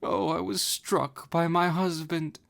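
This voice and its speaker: A man voicing a love-struck, tearful young goddess in a high, whiny falsetto. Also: expressive, measured pace, vocal fry, exaggerated voice. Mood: weepy pleading.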